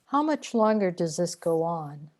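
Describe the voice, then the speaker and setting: A woman's voice: on the low side, very animated, unhurried, darkish tone. A woman playing a weary, complaining soul trapped in limbo in a sci-fi radio drama, with a plaintive delivery. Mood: pleading.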